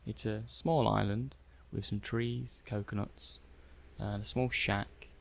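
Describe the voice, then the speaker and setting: A man acts out a methodical, curious male technician in an ASMR sci-fi roleplay. The voice is soft-spoken and sits fairly low, with plenty of creaky fry. He muses haltingly, thinking aloud as if jotting down test notes. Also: steady tone, slight background noise. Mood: intrigued, musing. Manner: hesitant, musing, thinking aloud while taking notes. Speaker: roleplay performer.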